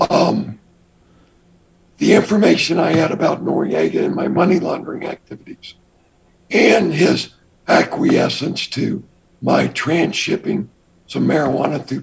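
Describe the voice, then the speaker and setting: An older man with a raspy voice, a guest telling his past story in a measured, deliberate way. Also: a little high, poor audio. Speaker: interview guest. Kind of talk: recounting his past in an interview.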